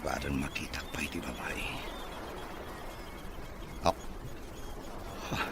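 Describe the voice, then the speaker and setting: An actor playing a bitter, wealthy, distrustful son in a radio drama, brusque and scornful in manner. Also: male voice, rumbling low, fairly even intonation, takes it slowly, heavy background noise, heavily acted. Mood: musing.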